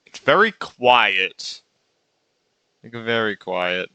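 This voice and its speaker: A man's voice: lowish voice, lively intonation, drawn-out words, bright, forceful tone, smooth, no room sound. A man giving casual gameplay commentary, informal and slangy, thinking aloud as he reacts. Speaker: gaming YouTuber.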